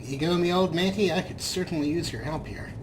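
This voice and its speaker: Let's play host, middle pitch, casual commentary, wry, noisy game ambience. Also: brisk.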